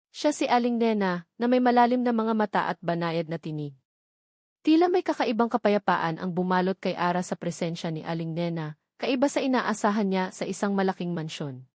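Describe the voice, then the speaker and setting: A woman's voice: mid-range voice, darkish tone, no echo, studio quality. A woman reading scripted narration of a romance story as its narrator.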